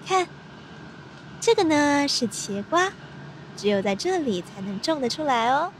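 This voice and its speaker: A woman playing a chatty, bossy young country girl in an audio drama, brisk and blunt. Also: sits high, big pitch swings, low-quality recording. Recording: faint background noise.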